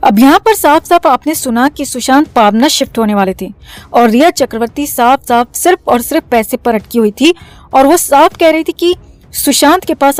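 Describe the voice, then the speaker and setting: A woman's voice: high voice, darkish tone, no echo. A woman reading a scripted news commentary as a voice-over narrator. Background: background music.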